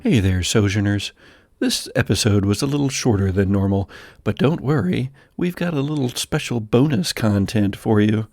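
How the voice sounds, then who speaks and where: An American man narrating a tabletop horror game as game master, telling it in a dramatic, deliberate way with trailing pauses. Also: on the low side, expressive, gravelly, darkish tone, soft background music. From United States. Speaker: game master and podcast host.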